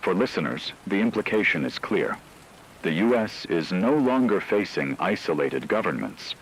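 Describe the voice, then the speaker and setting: A man playing a grave radio anchor, delivering a scripted emergency war broadcast in measured, solemn, formal tones. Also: deep voice, big pitch swings, a little hiss or hum, low-quality recording. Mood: grave, urgent.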